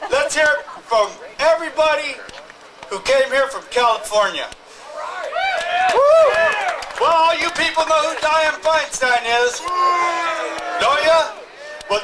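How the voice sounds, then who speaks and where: A man's voice: very high voice, bright, forceful tone, slight background noise, low-quality recording. A man telling a folksy joke to a rally crowd. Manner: folksy storytelling.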